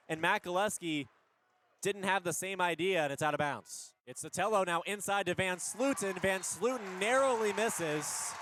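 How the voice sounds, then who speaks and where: A man doing energetic live radio basketball play-by-play in punchy short phrases, rising on big plays. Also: high-pitched, a little hiss or hum. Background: basketball arena ambience.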